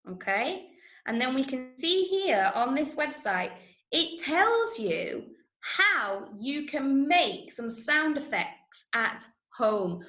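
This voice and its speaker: A woman with an English accent and a fairly high voice leads an online lesson for children. She is encouraging and keeps checking that her pupils follow. The sound is clearly roomy and echoing. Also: sharp tone. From Manchester, England. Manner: encouraging, frequent checking "yeah?" and "okay?". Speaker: workshop teacher of radio drama. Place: indoor room, online video call.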